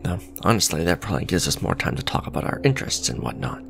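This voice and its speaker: Man playing a gravelly, very low-voiced smug villain. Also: sing-song, fast talker, over music, full character voice. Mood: casual, warm. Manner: sardonic, conversational, sighing and teasing. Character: smug male villain, suave luxury fashion businessman on a date. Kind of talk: romantic ASMR roleplay audio drama.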